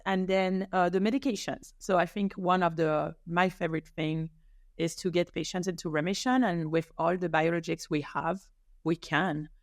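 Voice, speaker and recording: A woman's voice: lowish voice, dry recording, studio quality. Enthusiastic woman from France. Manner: enthusiastic, laughs, many restarts and fillers. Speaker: rheumatologist, interview guest. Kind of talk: casual interview answers.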